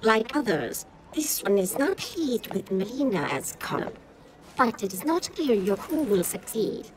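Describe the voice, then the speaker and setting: A woman's voice: a little high, big pitch swings, unhurried, a crowd in the background. A woman playing a cold, calculating insectoid counselor in a video game, speaking formally and of herself in the third person. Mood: cool, calculating. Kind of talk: video game cutscene acting.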